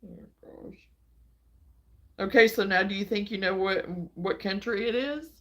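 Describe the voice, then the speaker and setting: Livestream host: woman from Illinois, folksy and chatty, unhurried delivery, laughs easily, home setting. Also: medium pitch. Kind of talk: casual chat with viewers.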